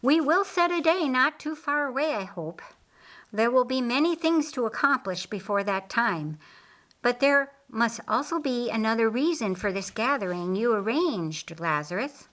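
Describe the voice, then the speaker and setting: A woman voicing a kindly, earnest male master of a household in an audiobook, speaking in a measured, formal way. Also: fairly high pitch, animated, fairly bright tone, voice pushed up. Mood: formal, glad.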